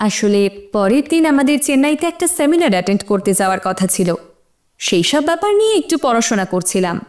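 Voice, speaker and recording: A young student giving testimony in a courtroom drama. Her voice is high and very mobile in pitch. She explains herself in a guarded, slightly hesitant manner. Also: very animated, fast, darkish tone. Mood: guarded, earnest. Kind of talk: courtroom audio drama dialogue.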